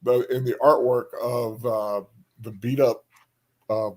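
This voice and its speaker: Guy cohosting a chill stream, average pitch, kinda halting and hesitant, real informal. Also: fairly even intonation, darkish tone. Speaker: co-host of a book discussion stream. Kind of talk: casual livestream chat.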